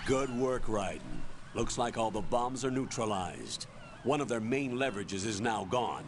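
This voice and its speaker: An actor playing a stern colonel in a video game, giving a measured, authoritative briefing to his agent. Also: mid-range voice, expressive, a little hiss or hum, natural voice. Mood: serious, commanding.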